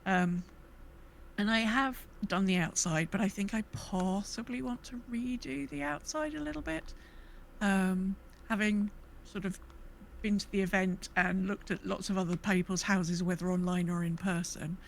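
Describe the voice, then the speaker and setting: Female podcast co-host, informal and chatty, mid-pitched, with a little noise behind the voice. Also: moderate pace. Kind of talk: casual chat and reading out listener messages. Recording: clear background noise.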